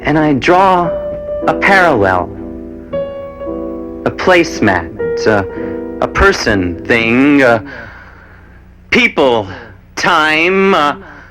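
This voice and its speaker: A man performing experimental spoken word in a halting, word-by-word delivery. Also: a little high, big pitch swings. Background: keyboard music.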